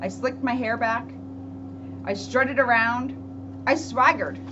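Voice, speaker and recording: A high-pitched woman reads a picture book to children at an unhurried pace, chatting in casual asides. Her delivery is expressive, and the recording is very noisy, with a hum behind her. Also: dull audio. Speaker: police officer reading a picture book to children. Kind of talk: storybook read-aloud with casual asides. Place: library room.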